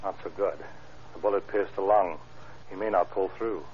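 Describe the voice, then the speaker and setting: An actor playing a weary, dryly gloomy small-town sheriff in an old-time radio crime drama. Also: male voice, low-pitched, steady tone, normal speed, lightly acted. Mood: weary, grim. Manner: dry, grim, plain-spoken. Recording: muffled, hissy old radio recording.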